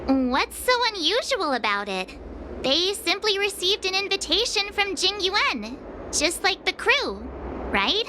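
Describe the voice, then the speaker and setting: A woman voicing a perky, outspoken, carefree teenage girl adventurer in a video game, chatty, blunt and expressive. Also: very high voice, measured pace, noisy background, exaggerated voice. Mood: puzzled, indignant. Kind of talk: English video game voice acting. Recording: loud background music under the dialogue. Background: game music.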